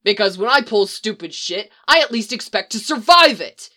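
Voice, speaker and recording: A woman voicing a reckless young male agent, shouting and swearing bitterly. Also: medium pitch, lively intonation, normal speed. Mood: furious.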